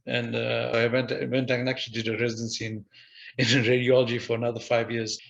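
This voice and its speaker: An older man from Zimbabwe talks on a webinar panel with a gravelly, creaky edge, keeping his pitch flat and even. He stalls and repeats words as he goes, and the recording sounds dull and lo-fi. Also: brisk. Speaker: diagnostic radiologist. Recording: dull lo-fi audio.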